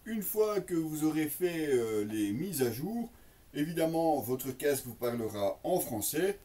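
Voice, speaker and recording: Distant, higher-pitched man presenting an unboxing. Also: very animated, measured pace, mellow. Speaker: product reviewer and presenter. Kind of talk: casual unboxing demonstration.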